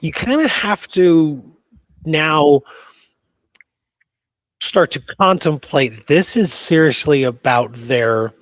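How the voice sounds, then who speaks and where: A man's voice: on the high side, takes it slowly, pauses often, dry recording. A man co-hosting a casual discussion, feeling his way through points with repeats and rephrasing. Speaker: podcast co-host.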